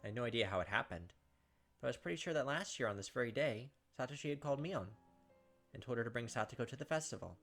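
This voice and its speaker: A man's voice: mid-range voice, lively intonation, fast talker, fairly bright tone, faint music behind. A young man doing casual game commentary and reading story narration aloud. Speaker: gaming video host.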